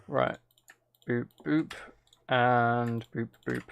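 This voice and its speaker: A man casually commentating on his game and chatting to viewers, muttering along in a low-key way, close to the mic. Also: animated, very slow, gravelly, dull audio, a little hiss or hum. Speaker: streamer playing a game while chatting with viewers.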